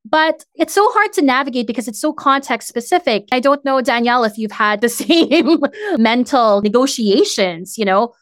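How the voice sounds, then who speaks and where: A woman's voice: fairly high pitch, a bit bright, no room sound, clean studio sound. A woman hosting a casual, conversational interview. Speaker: podcast host.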